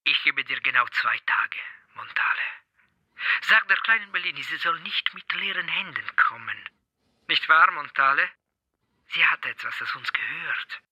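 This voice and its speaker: A man's voice: a little high, expressive, normal speed, pushed and bright, slightly rough, dry recording, exaggerated voice. An actor playing a menacing male gangster blackmailer, sneering threats through a telephone filter in a radio drama. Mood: quietly menacing.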